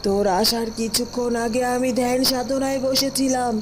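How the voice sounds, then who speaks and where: A woman's voice: a little high, flat delivery, over music, close to an everyday voice. A woman voicing a commanding forest witch mystic in a cartoon, speaking bluntly and imperiously. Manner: slow, imperious, addresses others bluntly. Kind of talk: Bengali cartoon voice acting.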